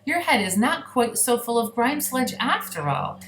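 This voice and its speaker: Woman voicing a folksy, excitable friendly giant. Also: on the high side, unhurried, reverberant, slight background noise, pitched above the performer's own voice. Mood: gentle, folksy. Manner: quirky ungrammatical speech with invented words.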